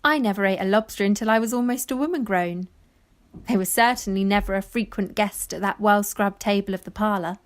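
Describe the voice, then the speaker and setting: A woman playing a wistful granddaughter, giving lyrical, measured narration in an audio play. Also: mid-range voice, natural voice. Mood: wistful, descriptive. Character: wistful granddaughter narrating family memories of fishing village life. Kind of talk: poetic scripted narration.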